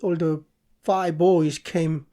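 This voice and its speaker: A middle-aged man from South Korea with a Korean accent, answering interview questions in a halting way, with pauses. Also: fairly high pitch, flat delivery, mellow. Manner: slow, halting, with pauses and restarts. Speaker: guest artist and professor.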